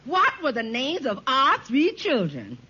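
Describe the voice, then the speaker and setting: Shrill, forceful sitcom lady. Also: huge pitch swings, a little slow, slightly rough, exaggerated voice. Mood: brisk, demanding. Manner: imperious, emphatic. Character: forceful, indignant woman questioning a supposed husband. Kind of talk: old-time radio sitcom acting. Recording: muffled vintage radio recording, audience, faint hiss.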